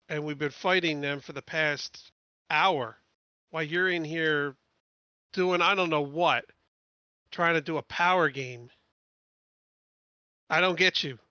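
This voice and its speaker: A man's voice: a little high, pushed and bright, smooth, no echo, highs cut off. A man voicing an exasperated drill sergeant in a tabletop game, blunt, challenging and dismissive. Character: exasperated, scornful male military drill sergeant. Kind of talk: in-character tabletop roleplay speech.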